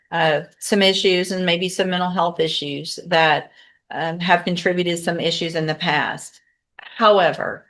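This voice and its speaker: A woman's voice: on the low side, a bit bright. An American woman speaking as a judge in court, plain-spoken, firm and formal in her delivery. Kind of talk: courtroom sentencing and docket proceedings.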